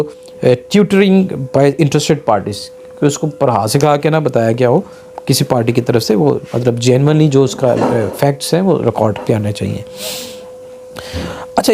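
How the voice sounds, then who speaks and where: A Pakistani man speaks as an advocate giving a legal lesson. His voice is dark and relaxed at an average pitch, and he switches into slow, halting English when reading aloud. A faint hum and noise sit behind him. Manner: reads English quotations slowly and haltingly, then explains. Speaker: advocate giving a law lecture. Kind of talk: explanatory legal lesson mixing in English legal passages read aloud. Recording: noticeable background noise and hum.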